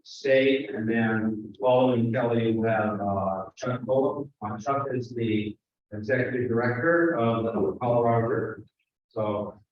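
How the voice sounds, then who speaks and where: Man moderating in an echoey hall. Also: lowish voice. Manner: halting, many fillers and restarts. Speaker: session moderator. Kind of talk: conference panel introductions. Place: conference room. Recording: echoey hall from far off, dull sound.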